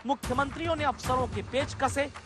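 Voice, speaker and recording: A woman's voice: high voice, a little fast. A woman presenting a dramatic, scripted news report. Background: loud music bed.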